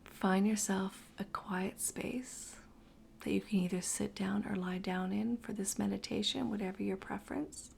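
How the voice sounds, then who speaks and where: A woman narrating a guided meditation slowly and soothingly, her breathy voice often dropping toward a whisper. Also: mid-range voice, fairly even intonation, a little mellow, a little hiss or hum.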